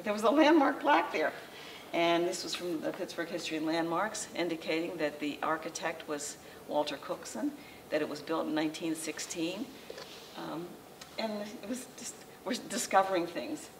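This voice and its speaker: An older woman talks a small audience through her slides in a low, unhurried voice, stopping often to restart her sentences. She is picked up from a distance by a camera mic. Faint room noise sits under her words. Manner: conversational, halting with frequent restarts and fillers. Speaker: local historical society president giving a lecture. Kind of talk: informal slideshow lecture. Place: library meeting room, indoors, small audience. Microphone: far camera, masked speaker.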